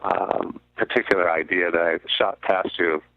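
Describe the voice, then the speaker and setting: A man speaking as a radio guest, explaining in deliberate, halting short phrases over a narrow call-in line. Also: low-pitched, steady tone, creaky, dry recording. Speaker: guest commentator on a radio show. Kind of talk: explanatory talk about finance. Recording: muffled narrow phone-like line.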